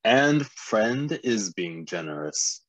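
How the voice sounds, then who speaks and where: An actor playing a sardonic, condescending wizard in his late twenties for an animated fantasy table read. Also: lowish voice, slow, dry recording. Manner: droll, condescending. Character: haughty, sardonic twenty-seven-year-old male wizard.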